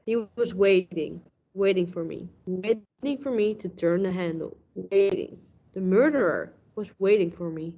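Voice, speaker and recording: A woman playing a brooding, hard-boiled police detective who narrates a radio mystery dramatically. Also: lowish voice. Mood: tense, suspenseful. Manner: dramatic, deliberate storytelling. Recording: dull lo-fi audio.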